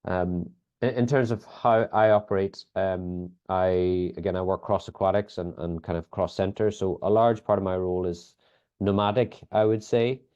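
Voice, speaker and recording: Northern Irish male guest, deep, mellow tone, measured and full of "um"s. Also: fairly even intonation, smooth. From Northern Ireland. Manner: thoughtful, many fillers and restarts. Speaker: sports biomechanics lead, guest. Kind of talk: conversational interview answers. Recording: dull lo-fi audio.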